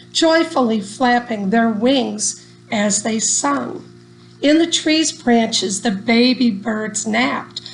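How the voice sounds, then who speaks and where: A woman reading a rhyming children's story aloud in an expressive, deliberate storytelling manner. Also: a little high, gravelly, a little hiss or hum, rough recording. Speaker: storybook reader.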